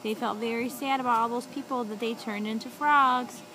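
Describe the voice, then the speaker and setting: A woman improvising a children's story with a young child, animated and encouraging, often echoing and asking questions. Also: fairly high pitch, normal speed.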